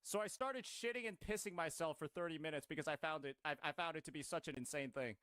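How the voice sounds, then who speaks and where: A man's voice: fairly high pitch, quick pace, fairly bright tone, smooth, dry recording. A man talking casually. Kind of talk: casual talk.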